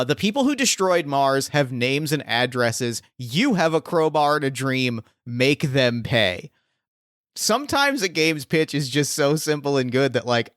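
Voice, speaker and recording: A man's voice: mid-range voice, a bit bright, dry recording, studio quality. A man hosting a podcast as game master, talking casually and often restarting as he searches for words. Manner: rambling, gropes for words with many restarts.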